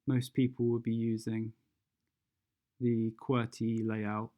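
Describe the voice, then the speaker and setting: An unhurried tutorial presenter with a soft, mellow tone gives an unscripted software walkthrough. The delivery is fairly flat in pitch and hesitant, as the steps are worked out aloud. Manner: hesitant, thinking aloud while demonstrating.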